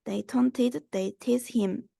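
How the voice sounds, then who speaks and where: A woman's voice: a little high, fairly even intonation, takes it slowly, creaky, mellow, no echo, studio quality. A young woman reading a children's rhyming story aloud. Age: young adult. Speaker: student reader.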